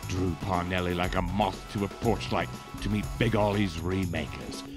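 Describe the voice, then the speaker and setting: A man's voice: low voice, steady tone, moderate pace, music in the background, lightly acted. A man playing an over-the-top live motorsport TV reporter in a punchy, tongue-in-cheek mock news report. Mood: mock-dramatic.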